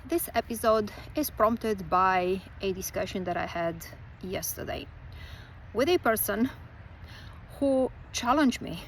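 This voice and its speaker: A woman from Romania, a folklore writer and teacher talking through a reflective personal monologue with many pauses. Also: medium pitch, a bit bright, a little hiss or hum, poor audio.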